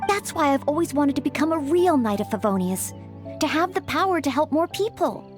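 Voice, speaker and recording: A woman voicing a polite, earnest young maid who trains to be a knight in a video game, gentle and slightly formal in manner. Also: high voice, animated, fairly quick, over music.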